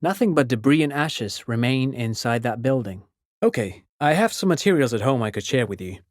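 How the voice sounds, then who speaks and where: A man performs an erudite, courteous male intellectual for a video game. His mid-pitched voice swings expressively as he explains things with pedantic enthusiasm. The sound is dry and close. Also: expressive, a little fast, no room sound, natural voice. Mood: informative, keen. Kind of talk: video game dialogue acting.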